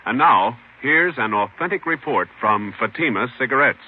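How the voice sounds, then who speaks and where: Animated old-time radio announcer, male. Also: mid-range voice, moderate pace, slightly rough. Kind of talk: 1950s radio sponsor announcing. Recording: noisy, narrow old radio recording.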